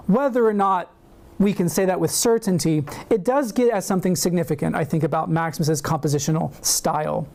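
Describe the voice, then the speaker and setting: A male university lecturer reading aloud from a prepared paper. His delivery is measured and deliberate, with an occasional filler between clauses. He speaks as if to a seminar room. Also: fairly high pitch, creaky, a little mellow.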